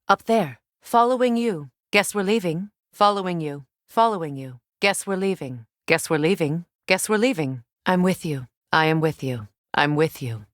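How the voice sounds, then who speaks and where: A woman playing a composed, commanding Norse goddess warrior in a video game, speaking in a measured, deliberate way. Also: lowish voice, no room sound, studio quality. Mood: alert, curious. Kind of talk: game companion voice lines.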